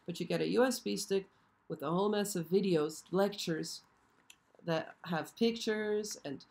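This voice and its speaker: A hoarse woman hosting a casual live stream, chatty and often checking in with her viewers. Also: medium pitch, a little slow, a little mellow. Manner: chatty, frequently checks in with "okay?". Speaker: show host. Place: show set.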